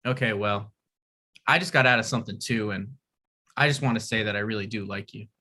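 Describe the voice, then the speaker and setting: A man's voice: fairly low pitch, fairly quick, pushed and bright. An actor at a table read playing an earnest, odd young man who rambles and stammers. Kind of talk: table read of a comedy script.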